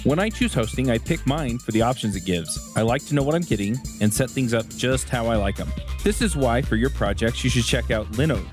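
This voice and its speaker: A man's voice: expressive, fairly quick, music in the background. A man reading a sponsor ad for a podcast in an upbeat, practiced way. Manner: smooth, upbeat pitch. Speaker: ad reader. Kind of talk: read sponsor ad.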